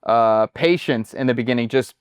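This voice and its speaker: American guy talking about his life abroad, smooth clear voice, dry close sound, kinda halting. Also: mid-range voice, dry recording. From United States. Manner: relaxed, halting, repeats words. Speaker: chef and guest. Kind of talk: casual interview chat.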